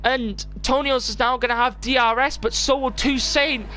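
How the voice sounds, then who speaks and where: A man commentating a live sim race, excitable and rushed, repeating himself as he chases the action and losing his voice. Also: very high voice. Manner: excitable, rapid, words tumbling and repeated.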